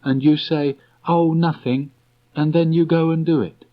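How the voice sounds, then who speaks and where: A man voicing a kindly, earnest young boy in a storybook, speaking gently and simply. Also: on the high side, steady tone, measured pace, pitched above the performer's own voice, lightly acted. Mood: gentle, earnest. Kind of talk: storybook character reading. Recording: dull restored cassette tape.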